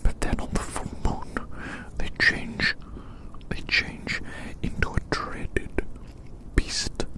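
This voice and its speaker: An ASMR performer delivering a roleplay monologue in a breathy, unvoiced whisper, musing deliberately and repeating phrases. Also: low-quality recording.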